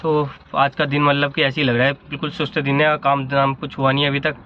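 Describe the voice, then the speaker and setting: Chatty fast man, lo-fi. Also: mid-range voice, flat delivery, slight background noise. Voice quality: speaks of a sore throat. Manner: chatty, rambling with self-corrections. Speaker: ride-hailing driver vlogger. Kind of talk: casual vlog narration. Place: car and fuel station in a city.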